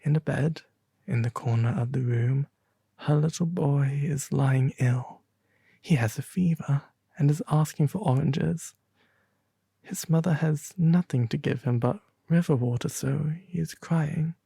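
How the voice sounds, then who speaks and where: A performer voicing a refined owl demon prince, reading a storybook tale softly and gently in ASMR style. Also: medium pitch, gravelly, husky, no room sound. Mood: gentle, soothing. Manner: slow, gentle, theatrical storytelling.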